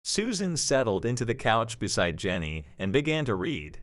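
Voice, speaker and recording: A man's voice: mid-range voice, big pitch swings, normal speed, no echo, clean studio sound. A man narrating a scripted story for an audio drama.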